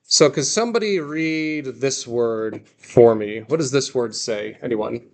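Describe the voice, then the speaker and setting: A man with a mid-pitched voice teaches a class in an informal lecture style. He is reassuring, stresses key points by repeating them, and keeps checking that listeners follow. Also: unhurried, darkish tone. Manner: reassuring, often checks understanding with "okay" and "right". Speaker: language teacher.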